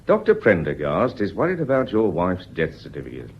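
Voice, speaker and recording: A man's voice: low-pitched, wildly swooping pitch, fairly quick, exaggerated voice. A man playing a polite, persistent police officer, deferential and hesitant, in a period radio drama. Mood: politely insistent. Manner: deferential, hesitant yet insistent. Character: polite, persistent plainclothes policeman paying a call. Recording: muffled, noisy old radio recording.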